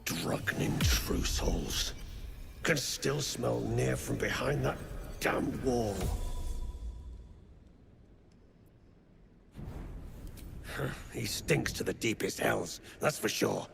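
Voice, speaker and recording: A man playing a gruff, greedy, scheming grey dwarf mercenary in a video game, with a gravelly, coarse and menacing delivery. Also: medium pitch, lively intonation, slow, over music, exaggerated voice. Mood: suspicious. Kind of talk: video game character acting.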